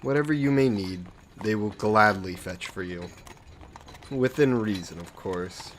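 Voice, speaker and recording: A man's voice: fairly low pitch, a little slow, slight background noise, lightly acted. A man playing an aristocratic vampire lord in an audio roleplay, suave, smug and condescending, with a genteel, coaxing, possessive manner. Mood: smug, reassuring. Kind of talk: scripted roleplay audio drama monologue.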